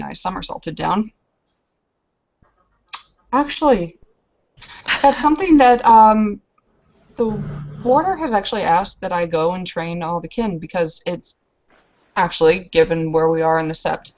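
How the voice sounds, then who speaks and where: A woman's voice: fairly low pitch, creaky, a little mellow, lo-fi sound. A woman voicing a self-deprecating female werewolf warrior who tells of her battles in a hesitant, rambling way. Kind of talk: in-character tabletop roleplay storytelling.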